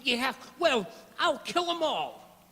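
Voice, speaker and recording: Hot-tempered gangster henchman, very high husky voice, slangy stammer, radio drama, long reverb. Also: sing-song, slow, sharp tone, heavily acted. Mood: vengeful. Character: scheming hot-tempered gangster henchman, comic 1920s villain. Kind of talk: old-time gangster radio play acting. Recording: very echoey hall recording.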